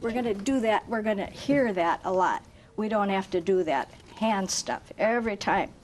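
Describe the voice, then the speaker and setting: A woman reading a picture book aloud to children in an expressive, chanting, sing-song way, engaging them with questions like a teacher. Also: medium pitch, normal speed, a little hiss or hum. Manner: asks listeners questions like a teacher. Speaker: volunteer story reader for children.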